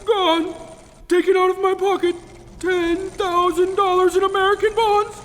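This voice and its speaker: Radio play victim: performer as well-off gentleman, very high-pitched, frantic, stammering; big hall reverb. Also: little pitch movement, a little slow. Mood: panicked. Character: panicked robbery victim, a wealthy gentleman shrieking in alarm. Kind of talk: live radio drama acting. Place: live performance in a church. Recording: reverberant church hall, faint noise.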